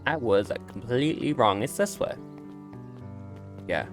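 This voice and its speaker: A man's voice: mid-range voice, animated, a little slow, faint music behind. A man doing casual, chatty game commentary, reacting aloud as he plays. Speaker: let's play streamer.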